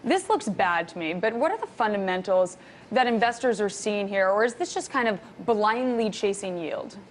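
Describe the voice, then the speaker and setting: A woman's voice: medium pitch, animated, moderate pace, a little hiss or hum. A woman hosting a TV business segment, upbeat and chatty, reading her intro and asking her guest questions. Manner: upbeat, chatty, stumbles over names. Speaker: TV show host.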